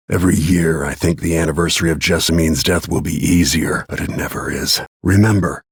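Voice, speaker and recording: An actor playing a grim, vengeful middle-aged assassin in a video game, speaking tersely in a gravelly, husky voice. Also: male voice, a bit bright, dry recording, studio quality, full character voice. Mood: calm, instructive. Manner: low-key, grim, terse, deliberate.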